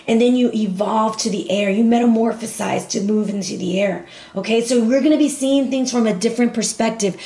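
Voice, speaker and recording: A hoarse woman who has lost her voice, giving a casual, chatty monthly tarot reading to her viewers. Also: on the high side, reverberant. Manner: warm, chatty, affectionate with viewers. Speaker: tarot reader.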